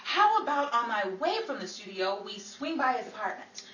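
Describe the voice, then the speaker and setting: A woman playing a witty, exasperated Hollywood actress in a 1940s-style radio comedy, theatrical and quick with dry comebacks. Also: a little high, very animated, fairly bright tone. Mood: lively, matter-of-fact. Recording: roomy, distant, noisy, crowd sound behind.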